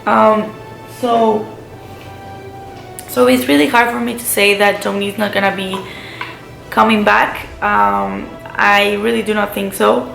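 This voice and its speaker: A woman's voice: mid-range voice, level tone, measured pace, vocal fry, pauses often, fairly bright tone. A woman giving a casual, gushing film review to her viewers, full of enthusiastic emphasis. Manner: gushing, enthusiastic fan talk with repeated emphasis. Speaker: movie review vlogger. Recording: roomy echo, faint music behind.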